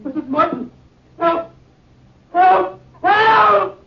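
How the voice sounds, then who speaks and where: A man's voice: extremely high pitch, animated, extremely slow, gravelly, exaggerated voice. An actor playing an eager, polite young grocery delivery boy with an earnest manner, in 1950s radio drama style. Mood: frightened. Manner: earnest, boyish. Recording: dull, band-limited 1950 radio recording.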